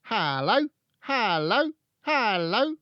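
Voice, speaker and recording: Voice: mid-range voice, big pitch swings, very slow, pushed and bright, dry recording, dull audio, voice pushed up, full character voice. A narrator voicing a talking parrot in a storybook, repeating short phrases in imitation of human speech. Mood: chirpy calling.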